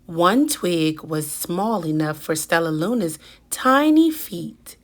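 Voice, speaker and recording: A woman reading a children's picture book aloud with deliberate, dramatic emphasis. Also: fairly low pitch, lively intonation. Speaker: children's story reader.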